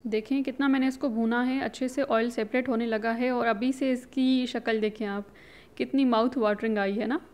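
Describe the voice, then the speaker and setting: A woman talks viewers through a home recipe in a chatty, instructive voice-over, often slipping English words into her speech. Her voice sits a little on the higher side. She sounds like a relaxed host of her own cooking channel. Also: moderate pace.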